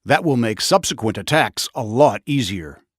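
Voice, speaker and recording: An actor playing a grave, resolute army officer in a war game, giving a scripted briefing in a deliberate, commanding way. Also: male voice, mid-range voice, expressive, breathy edge, dry recording, clean studio sound. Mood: resolute, urgent. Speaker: military commander.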